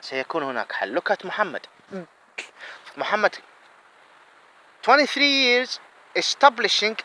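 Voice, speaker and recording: Fired-up Arabic-accented man, lively pitch. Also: poor audio. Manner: heated, repeats words, switches between English and Arabic. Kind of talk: impassioned solo monologue.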